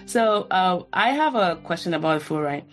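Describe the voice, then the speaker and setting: A woman in her thirties whose first language is Yoruba, hosting a casual podcast conversation in an animated, chatty way with many restarts. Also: on the low side, normal speed, soft background music. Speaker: podcast host; cancer researcher.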